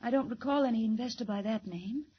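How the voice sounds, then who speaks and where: An anxious, earnest young woman with a high-pitched voice and melodramatic 1940s delivery. The old broadcast sounds muffled. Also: fast talker, husky. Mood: subdued. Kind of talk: 1940s radio melodrama acting. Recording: muffled 1940s radio recording.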